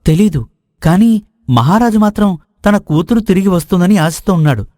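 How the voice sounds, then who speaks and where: A man's voice: high voice, lively intonation, moderate pace, warm tone, no echo, clean studio sound. A man voicing a prince's knowing friend who eagerly explains tales in a dramatized moral story. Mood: intriguing, explanatory.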